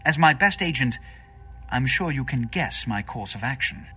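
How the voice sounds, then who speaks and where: Video game vampire prince: pompous, scheming, bright forceful voice, highly animated pitch. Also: male voice, moderate pace, breathy edge, soft background music. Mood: grave, commanding. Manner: pompous, formal, grandiloquent. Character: imperious, theatrical vampire prince, scheming and haughty. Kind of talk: dramatic video game voice acting.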